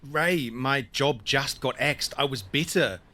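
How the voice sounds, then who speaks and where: An actor playing an evasive, world-weary TV industry colleague in a naturalistic audio drama, casually placating and dismissive. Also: male voice, mid-range voice, takes it slowly, sharp tone.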